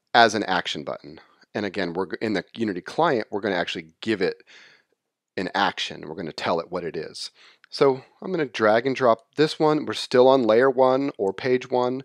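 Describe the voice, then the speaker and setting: A man presenting an informal screen-share software tutorial, explaining conversationally and repeating key points for clarity. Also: mid-range voice, no echo, clean studio sound.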